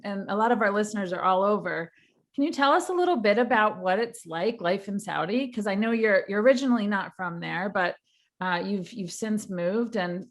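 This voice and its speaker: A woman hosting an interview podcast, chatty and friendly. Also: mid-range voice. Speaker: podcast host.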